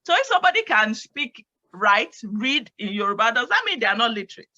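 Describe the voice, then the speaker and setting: A woman on a panel, speaking in an animated way and laughing easily. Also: on the high side, a little fast. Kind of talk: casual panel discussion. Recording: dull lo-fi sound.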